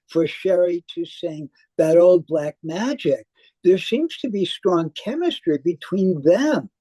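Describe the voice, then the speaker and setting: A woman theater critic reading a prepared review aloud in a measured, deliberate manner. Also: lowish voice, dark tone, husky, dry recording. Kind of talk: scripted review read aloud.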